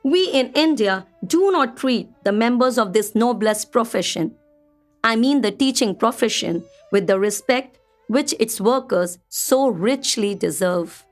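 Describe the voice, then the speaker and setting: An actress playing an earnest, high-minded Indian woman traveller of the early twentieth century, speaking formally and with measure in a radio play monologue. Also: expressive, unhurried, faint music behind.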